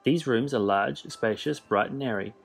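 A man narrating a scripted cruise ship tour in a measured, informative way. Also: mid-range voice, vocal fry, husky, soft background music. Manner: measured, informative, list-style delivery.

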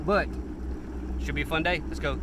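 A man's voice: on the high side, normal speed. A man in his twenties with a Southern US accent, chatting casually and folksily as a fishing vlogger, recorded outdoors. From Alabama, United States. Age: 20s. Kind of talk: casual vlog chat and commentary. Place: outdoors on a small boat on a river. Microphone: action camera, chest-mounted or at arm's length. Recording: noisy outdoor boat recording.

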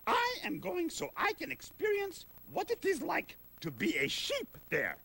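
A gravelly, shrill goofy sheep puppet. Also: male voice, huge pitch swings, unhurried, bright, forceful tone, slight background noise, exaggerated voice. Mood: chatty. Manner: gleeful cackling, grand old-fashioned phrasing. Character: goofy excitable male sheep puppet, theatrical.

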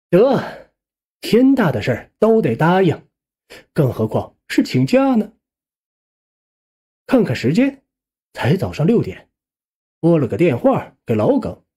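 Male audiobook narrator, fairly high pitch, mellow tone, conversational suspense storytelling. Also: breathy edge. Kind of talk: first-person suspense storytelling, scripted narration.

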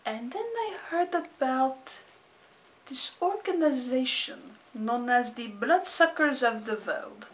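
A woman doing a slow, solemn vampire lawyer. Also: sits high, lively intonation. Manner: slow, drawn-out, theatrical delivery. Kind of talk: improvised comedy character.

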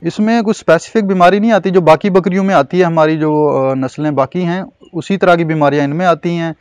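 A man in his thirties with a Pakistani Urdu accent speaks in a measured, informative way, pausing on fillers as he explains. His voice sits fairly high for a man. The sound is close and dry, with little room around it. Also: darkish tone, no room sound, soft background music. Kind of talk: explanatory on-camera interview. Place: outdoors at a covered goat shed.

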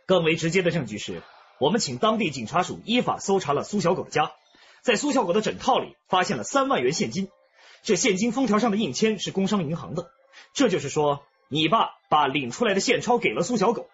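An actor playing a firm, emphatic police detective setting out evidence in a radio drama. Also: male voice, high voice, big pitch swings, fairly quick, fairly bright tone, husky, lo-fi sound, exaggerated voice. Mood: accusing. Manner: assertive, emphatic.

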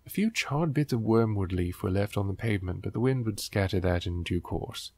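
A man's voice: on the low side, expressive, fairly quick, darkish tone, dry recording. A man hosting a book riffing show, reading aloud and commenting in a sarcastic, wry, exasperated way.

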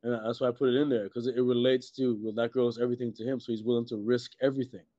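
A middle-aged man from Trinidad and Tobago chatting casually as an interview guest, halting and repeating words, with many "you know"s. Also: mid-range voice, flat delivery, dry recording. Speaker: guest, filmmaker and musician. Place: indoors on a video call. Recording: muffled, dull video-call audio.

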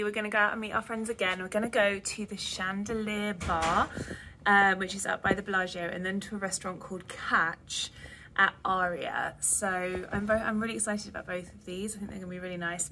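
A woman's voice: mid-range voice, background noise. A woman, chatting casually to her phone camera as a travel vlogger, full of fillers. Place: hotel pools, casino halls and a hotel room. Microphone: phone at arm's length.

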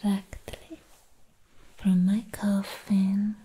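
A woman voicing a sweet, doting vampire girl in an ASMR roleplay, soothing the listener toward sleep in soft, breathy whispers. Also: mid-range voice, flat delivery, exaggerated voice, poor audio. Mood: playful, caring. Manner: slow, tender, soothing, repeating words.

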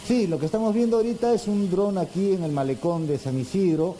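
A man's voice: sits high, fairly quick, warm tone, poor audio. A man with a Peruvian accent reporting live from outdoors in a conversational news style. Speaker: field reporter. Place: open seafront park walkway. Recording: outdoor ambient noise.

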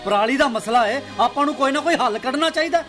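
A man's voice: very high voice, quick pace, fairly bright tone, faint music behind. A man playing a reasonable but exasperated Punjabi villager who mediates in a radio play. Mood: urgent, mediating. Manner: conversational, mediating.

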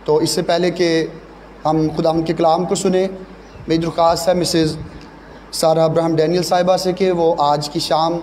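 A man with a Pakistani accent, formally hosting a church programme in measured, courteous phrases. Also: a little high, little pitch movement, darkish tone. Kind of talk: formal announcing at a church service. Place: church gathering, live audience. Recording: noisy live event recording.

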